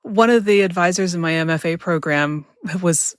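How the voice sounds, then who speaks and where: An American woman with a slightly low voice hosts a casual interview. She speaks conversationally, and the recording is dry and free of room sound. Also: normal speed, clear, resonant tone, dry recording. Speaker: podcast host, interviewer.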